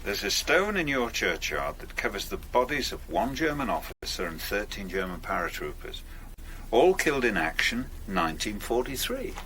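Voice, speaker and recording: An actor playing a persistent stranger who asks probing questions in a radio drama. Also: male voice, fairly low pitch, big pitch swings, moderate pace, sharp tone, slight background noise. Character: probing male outsider, persistent and calm.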